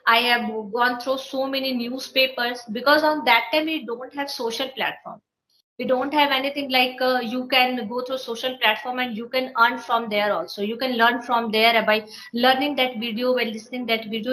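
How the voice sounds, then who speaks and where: A woman's voice: a little high, fairly even intonation, normal speed, pushed and bright, rough recording. Encouraging woman tutor. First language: Hindi. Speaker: host and English tutor. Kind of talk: video call conversation.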